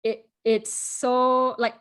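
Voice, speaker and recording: A woman from Singapore, a podcast guest, talking in a thoughtful, halting way with many restarts and frequent laughs. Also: on the high side, little pitch movement, fairly bright tone, smooth. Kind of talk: casual conversational interview.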